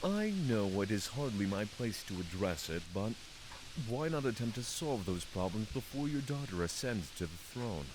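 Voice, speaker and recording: A man playing a solemn, courteous dragon lord in a fantasy audio drama, speaking in formal, measured phrases. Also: on the low side, animated, background noise, close to an everyday voice. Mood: tactful. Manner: formal, measured, thoughtful diction.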